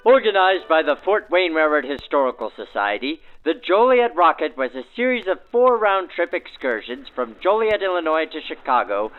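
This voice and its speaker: A man's voice: on the high side, very animated, moderate pace, hardly any pauses, soft background music. A man narrating in a theatrical, grandly promotional 1940s radio announcer style, through a vintage radio filter. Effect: backdated 1940s radio filter. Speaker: host and narrator. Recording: tinny band-limited 1940s radio sound.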